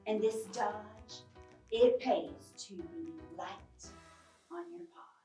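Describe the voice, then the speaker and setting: A woman's voice: on the high side, big pitch swings, slow, dark tone, far from the mic. A woman voicing a hard-boiled cat detective who narrates a storybook case in a dramatic, clipped noir manner. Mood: determined. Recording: roomy, off-mic, music behind.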